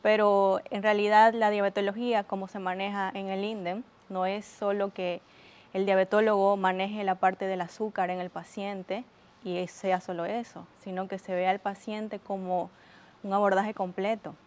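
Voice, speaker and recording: A woman's voice: medium pitch, flat delivery, moderate pace, a little mellow. A young woman from Argentina with a Bolivian Spanish accent, a doctor guest on a TV interview, explaining earnestly with some hesitations. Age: young adult.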